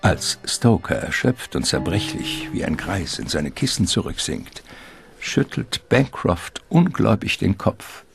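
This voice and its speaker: A man's voice: low voice, very animated, unhurried, vocal fry. A man narrating a radio drama as a scripted literary storyteller. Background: music.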